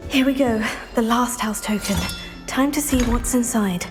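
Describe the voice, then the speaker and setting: A woman's voice: on the high side, moderate pace, over music, lightly acted. A woman playing a polite, curious young student adventurer in a video game, courteous and composed. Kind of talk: video game character acting.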